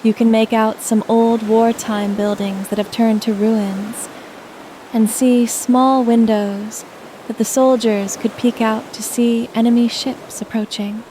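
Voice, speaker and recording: A woman narrating a scripted guided sleep meditation in a soothing, gentle manner. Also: a little high, level tone, slow pace, a little hiss or hum, poor audio.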